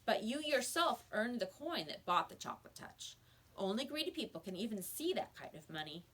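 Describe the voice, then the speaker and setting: A woman voicing a calm, stern old male shopkeeper in a storybook, measured and moralizing. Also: fairly high pitch, husky, heavily acted. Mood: firm, moralizing. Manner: measured, didactic.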